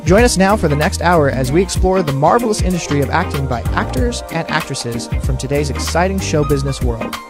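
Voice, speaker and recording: Showy announcer over music. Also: male voice, a little high, lively intonation. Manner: dramatic, drawn-out announcing. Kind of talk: scripted show intro announcement. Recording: intro over loud music.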